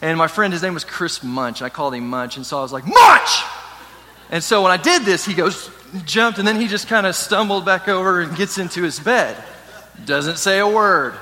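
Bright-voiced pastor in a big hall. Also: male voice, high-pitched, normal speed. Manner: casual, animated storytelling with frequent restarts. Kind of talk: sermon with personal storytelling.

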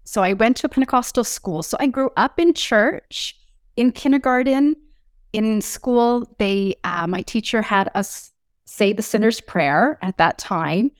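A woman's voice: on the high side, a little slow, no echo, clean studio sound. A middle-aged woman from Newfoundland, Canada, giving a personal testimony as an interview guest. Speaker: podcast host as interview guest.

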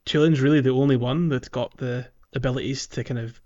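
A man's voice: mid-range voice, steady tone, brisk, darkish tone, highs cut off. A man hosting a podcast, discussing a video game casually and often chuckling mid-sentence. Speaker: podcast host.

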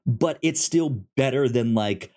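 Halting, slow-paced man chatting about films. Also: medium pitch, darkish tone. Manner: halting, stammering, repeats words. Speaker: podcast co-host reviewing films. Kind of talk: casual movie discussion.

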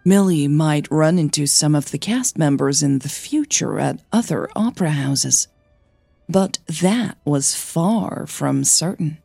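A woman's voice: low-pitched, expressive, a little slow, creaky, mellow, slightly rough, no echo, soft background music. A woman hosting a fiction podcast, giving announcements and narrating stories.

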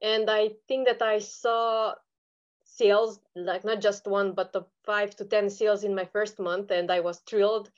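A woman's voice: mid-range voice, little pitch movement, unhurried, highs cut off. A woman from Romania with a Romanian accent, answering conversationally as an interview guest. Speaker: podcast guest, online seller.

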